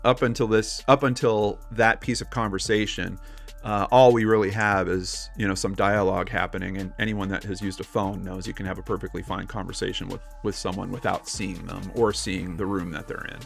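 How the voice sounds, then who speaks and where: A man co-hosting a casual discussion, reasoning aloud in a skeptical, measured manner. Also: sits low, level tone, creaky, faint music behind. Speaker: podcast co-host.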